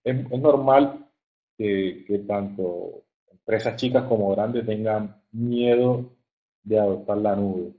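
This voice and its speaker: A man with a fairly low voice and a coastal Colombian accent gives an informal online presentation. He speaks haltingly, often repeating words as he looks for the next phrase. The audio is muffled and narrow, like a phone call. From Caribbean coast of Colombia. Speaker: webinar presenter, software engineer. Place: online webinar.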